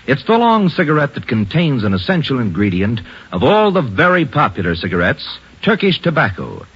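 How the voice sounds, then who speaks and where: Lively old-time radio ad man. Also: breathy edge. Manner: upbeat selling pitch. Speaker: commercial announcer. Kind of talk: 1940s radio commercial. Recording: dull old radio recording with music.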